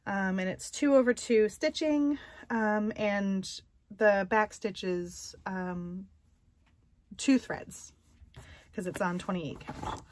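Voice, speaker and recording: A woman vlogger chatting casually about her stitching projects, soft and unhurried, with many ums and pauses and light self-deprecating humor. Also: medium pitch. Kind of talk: casual show-and-tell chat.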